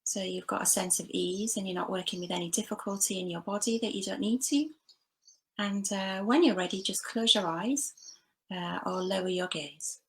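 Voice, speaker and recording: A woman leading a guided body scan meditation, giving gentle, unhurried instructions with long pauses between phrases. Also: medium pitch, rough recording. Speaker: meditation teacher.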